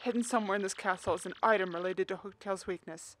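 A woman's voice: medium pitch, quick pace, slight background noise, natural voice. A woman voicing a timid, earnest young male turtle in a fan dub of a video game, reading a letter and yelping in panic. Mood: solemn reading. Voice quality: earnest, excitable, gets loud when startled. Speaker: fan voice actor.

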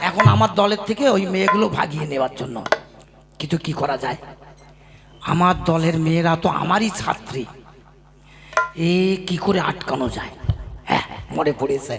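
Over clear background music comes a man acting an ageing husband in a folk puppet comedy. The voice is high for a man and heavily creaky. He schemes and wheedles with exaggerated, folksy sweet talk as a singing-troupe master. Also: lively intonation, moderate pace, long pauses. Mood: worried, then scheming.

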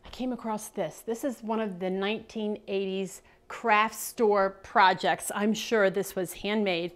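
A woman's voice: mid-range voice, steady tone, measured pace. A middle-aged woman with an American accent chatting casually to the camera as a vlogger, with playful asides. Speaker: vlogger showing thrift finds.

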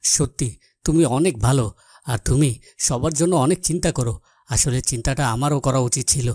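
A man's voice: medium pitch, a little mellow, no room sound, natural voice. A man playing a kindly, agreeable king in a fairytale cartoon. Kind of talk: Bengali fairytale cartoon dubbing.

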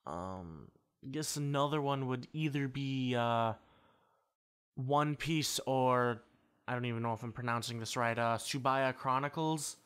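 A man's voice: mid-range voice, measured pace, fairly bright tone, clear, resonant tone. A young man answering casually, a bit hesitant and unsure of himself.

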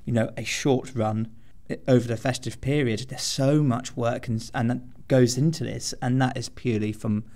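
A man with a medium-pitched voice talks as a podcast guest. His delivery is conversational, earnest and keen, like someone who cares about the subject. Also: steady tone, moderate pace, warm tone. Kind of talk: conversational interview answers.

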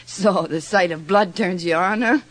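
An actress playing a distraught, blinded young woman in a radio horror drama, halting and bitterly disbelieving. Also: on the low side, a little hiss or hum, close to an everyday voice. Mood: bitter sarcasm. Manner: halting, breathless stammer turning to bitter sarcasm.

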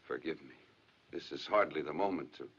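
Hurt, reserved husband, deep voice, polite and halting, dull old movie sound. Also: male voice, fairly bright tone, breathy edge, full character voice. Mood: guarded. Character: troubled, hurt married father, reserved and courteous. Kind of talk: 1950s film drama acting. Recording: dull, lo-fi 1950s film soundtrack.

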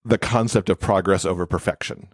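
A man's voice: low-pitched, fairly even intonation, fairly quick, breathy edge, no echo. A man speaking as an expert podcast guest, explaining things in casual interview talk.

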